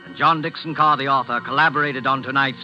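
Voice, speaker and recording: A man giving formal, measured scripted narration as a 1940s radio announcer. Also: mid-range voice, fairly even intonation. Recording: muffled 1940s radio recording. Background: music.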